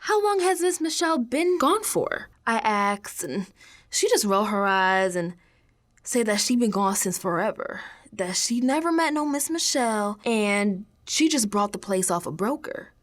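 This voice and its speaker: An actress playing a troubled, defiant young American woman who is unravelling, confiding her story in a dramatic radio monologue that swings from hushed to emphatic. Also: mid-range voice, lively intonation, a little slow, close to an everyday voice. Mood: bewildered, distraught. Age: young adult.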